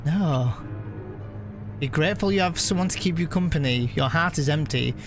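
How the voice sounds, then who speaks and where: A man live streaming a video game, chatting casually and reading the on-screen text aloud in a halting way, often correcting himself. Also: fairly high pitch, music in the background.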